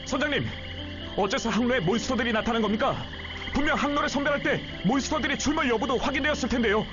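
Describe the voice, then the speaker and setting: A man voicing a gentle, protective young teacher in an anime-style game, earnest and politely firm. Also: very high-pitched, quick pace. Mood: stern, urgent. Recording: slightly dull audio under loud music. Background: loud background music.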